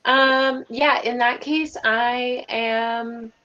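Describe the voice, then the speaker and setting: A woman's voice: on the high side, fairly even intonation, extremely slow, pushed and bright. A woman chatting casually and self-deprecatingly as a player in an online tabletop game, on slightly lo-fi audio.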